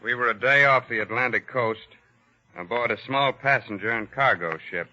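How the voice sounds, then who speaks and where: An actor playing a plain, matter-of-fact city doctor in 1940s radio drama style. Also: male voice, mid-range voice, moderate pace, pushed and bright, slight background noise. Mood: sober, matter-of-fact. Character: plain city doctor recounting surviving a torpedo attack. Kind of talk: 1940s radio drama first-person narration. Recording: muffled old 1940s radio recording.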